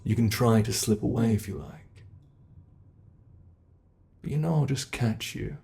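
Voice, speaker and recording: A British man voicing a teasing, predatory male monster who holds the listener captive, speaking slowly and mockingly in an ASMR horror roleplay. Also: medium pitch, gravelly, darkish tone. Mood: taunting. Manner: slow, taunting, toying with the listener.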